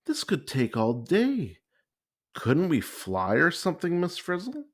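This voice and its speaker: Male reader as boastful storybook schoolboy: keen, emphatic, pitched up with swooping intonation. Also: wildly swooping pitch, measured pace, exaggerated voice. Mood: impatient. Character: eager, boastful young schoolboy.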